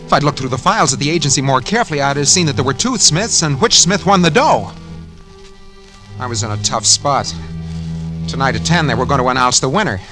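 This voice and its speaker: A man's voice: medium pitch, expressive, brisk, close to an everyday voice, poor audio. An actor playing a guilty, nervous schemer who narrates his own crime in hardboiled slang, in 1940s radio drama style. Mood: tense, confiding. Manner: hardboiled slangy first-person storytelling. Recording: vintage 1940s radio recording, music behind.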